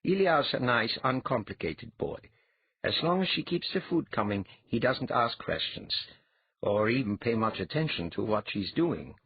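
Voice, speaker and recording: A husky-voiced audiobook reader voicing a sly older mentor with a dry, easygoing manner. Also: medium pitch, sing-song, moderate pace, phone-quality sound, pitched above the performer's own voice.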